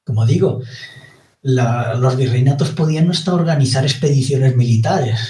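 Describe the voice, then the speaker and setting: A man from Spain with a Spanish accent, a podcast guest explaining his views at a slow, deliberate and hesitant pace. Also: mid-range voice, dark tone. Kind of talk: explanatory monologue. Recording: roomy, distant from the mic.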